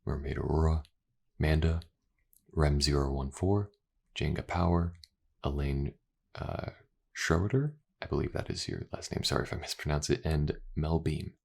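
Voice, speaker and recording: A man talking casually and informally to his listeners in a low-key creator's outro. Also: normal speed, creaky, a little mellow, breathy edge. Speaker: ASMR voice actor, channel creator.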